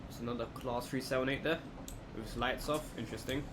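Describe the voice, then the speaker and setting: A man's voice: mid-range voice, fast talker. A man giving casual, unscripted let's play commentary, thinking aloud as he goes. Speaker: gaming video host. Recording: clear steady background noise, air-conditioning-like hum.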